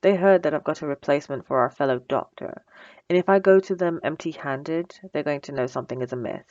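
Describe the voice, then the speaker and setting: A woman playing a bossy, sarcastic supervillain with a soft spot, in a teasing, dry, conversational ASMR roleplay. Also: low voice, a little fast, dry recording, highs cut off, lightly acted. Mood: bossy, sardonic. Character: female supervillain, bossy and sarcastic, secretly flustered by compliments. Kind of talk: ASMR roleplay audio drama.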